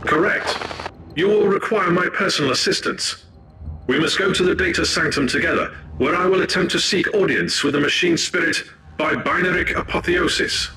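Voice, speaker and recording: A man's voice: sits high, moderate pace, background noise, lightly acted. An actor playing a clinical, devout male cyborg tech priest in a video game, speaking in a formal, procedural manner. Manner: formal, precise, stiffly procedural. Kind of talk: scripted video game voice acting.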